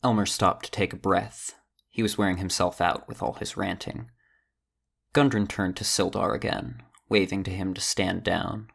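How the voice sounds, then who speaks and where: A man narrating an audio drama in a steady storytelling manner. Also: sits low, moderate pace, gravelly, breathy edge. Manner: steady storytelling, does all character voices.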